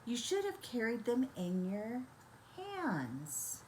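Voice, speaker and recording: A woman's voice: fairly high pitch, huge pitch swings, slow pace, a little hiss or hum, exaggerated voice. A woman voicing a storybook mother who scolds her foolish son in an exasperated, emphatic way. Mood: scolding.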